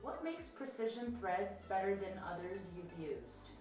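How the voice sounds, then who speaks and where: A woman interviewer asking clear questions. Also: mid-range voice, expressive, a little slow, darkish tone, heavy reverb, soft background music, low-quality recording.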